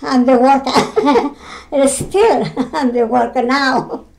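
A woman in her nineties from Greece with a Greek accent, recounting her mill work in an oral history interview at home, halting with many fillers and simple non-native grammar. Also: high voice, fairly even intonation, vocal fry, a little mellow. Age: 90s. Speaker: interviewee, retired mill weaver.

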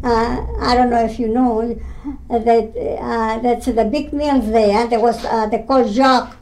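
Slow, halting Greek-accented woman in her nineties. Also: a little high, fairly even intonation, darkish tone, poor audio. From Greece. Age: 90s. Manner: halting, many fillers and restarts, simple non-native grammar, laughs often. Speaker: interviewee, retired mill weaver. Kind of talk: oral history interview. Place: her home.

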